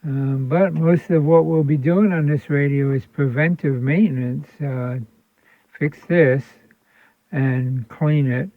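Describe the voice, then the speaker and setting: A man with a gravelly voice talks casually through a repair at his workbench, rambling and restarting his sentences. The sound is dry and tinny, like it came through a phone line. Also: on the high side, fairly even intonation, slow, no echo. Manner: rambling, with frequent ums and restarts. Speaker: radio restoration hobbyist host.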